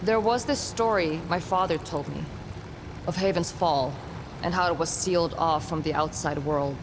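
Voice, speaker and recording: A woman's voice: low voice, normal speed, noisy background, natural voice. Woman acting dry, guarded young game heroine. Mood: grave, reflective. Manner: dry, understated. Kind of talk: video game cutscene acting.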